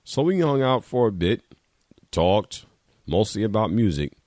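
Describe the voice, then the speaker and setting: A man's voice: fairly low pitch, big pitch swings, a little slow, a little mellow, dry recording, studio quality. A man reading a short first-person literary piece aloud as a reader at a literary event.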